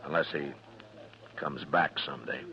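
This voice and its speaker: An actor playing a steady, weary frontier marshal in a radio Western, with a measured, probing, laconic manner. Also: male voice, a bass voice, creaky. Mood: concerned, fatherly. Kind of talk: 1950s radio Western acting with first-person narration. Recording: dull vintage radio recording with music.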